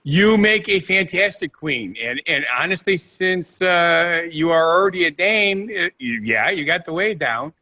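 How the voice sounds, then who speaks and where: A male podcast co-host chatting casually, playful and a bit rambling. His voice sits fairly high and bright. His pitch swings widely as he talks. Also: expressive, a little slow, hardly any pauses. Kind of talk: casual chat.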